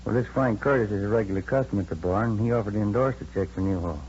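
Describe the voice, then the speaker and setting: A man's voice: fairly low pitch, very fast, darkish tone, natural voice. Terse American detective partner played by a man, muffled recording. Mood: reporting. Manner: matter-of-fact, terse. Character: plain-spoken male police detective partner, reporting details. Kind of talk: 1950s radio drama acting. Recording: muffled old radio recording, faint hum.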